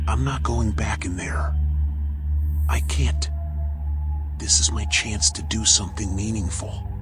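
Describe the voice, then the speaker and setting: Guy doing a brooding raccoon private eye for a noir game, low voice, real slow, bitter whispery mutter. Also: close to an everyday voice. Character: weary, self-loathing raccoon private eye, male. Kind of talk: noir video game inner monologue. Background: music.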